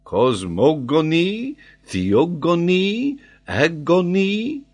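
A man voicing an excitable, triumphant French geographer who argues emphatically and draws out his key words. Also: a little high, very slow, voice pushed up, full character voice. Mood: emphatic, triumphant.

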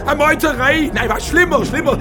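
An actor playing a panicking slaughterhouse manager in a radio drama, frantic and shouting. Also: male voice, very high-pitched, moderate pace, crowd noise. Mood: panicked. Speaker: plant manager.

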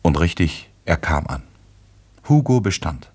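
A wry, composed storyteller with an extremely deep male voice. The tone is husky and the delivery measured. He reads literary narration with dry irony. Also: big pitch swings, darkish tone, exaggerated voice.

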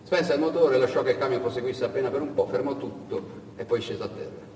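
A man narrating as a stage actor, telling a story dramatically and expressively with pointed pauses. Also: mid-range voice. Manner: expressive, with vocal sound effects and pointed pauses. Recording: echoing hall, distant mic, background noise.

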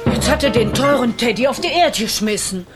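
Radio play: actress as older woman visitor, slightly high voice, disapproving, loud music behind. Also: animated, brisk, lightly acted.

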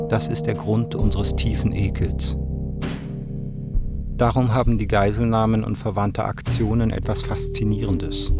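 An actor reciting as a grave philosophical man, solemn and declamatory, in a radio play. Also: lowish voice. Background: loud plucked guitar music.

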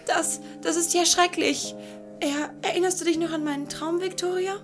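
Woman acting an anxious, high-voiced schoolgirl. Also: fairly even intonation, normal speed, soft background music. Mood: anxious, shaken. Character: anxious, polite teenage boarding-school girl. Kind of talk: German audio drama acting.

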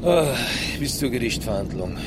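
Man playing an excitable, animated taxi driver. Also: medium pitch, moderate pace, close to an everyday voice. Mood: evasive, troubled. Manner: chatty, indignant, emphatic. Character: excitable, aggrieved Turkish taxi driver and loyal friend. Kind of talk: radio drama dialogue. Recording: noisy car interior with traffic.

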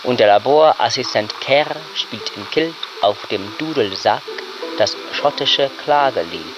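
Male radio-play narrator, documentary style, slow delivery, dull lo-fi sound with clear crackling noise. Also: medium pitch, animated.

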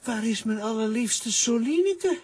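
A tender little talking coffee pot acted by a woman from a children's audio play. The voice is slow and halting, full of sentimental sighs, with faint noise behind it. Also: on the high side, animated. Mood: weak, dazed. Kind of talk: children's audio drama acting.

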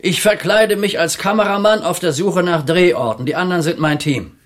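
A man's voice: sits high, normal speed, natural voice. An actor playing a decisive man in charge in a radio thriller, speaking in natural dramatic dialogue.

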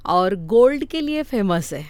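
A woman with a Marathi accent answers as a guest in a casual interview. Her voice is smooth and clear at an average pitch, with halting, simple phrasing, recorded close and dry. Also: very animated, a little mellow, dry recording. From Maharashtra, India.

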